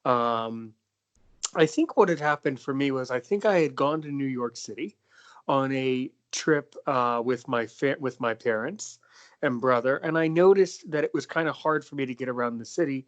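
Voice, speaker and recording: A man's voice: medium pitch, moderate pace, dull audio. A young man hosting a podcast interview, talking casually with many fillers and restarts. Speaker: podcast host.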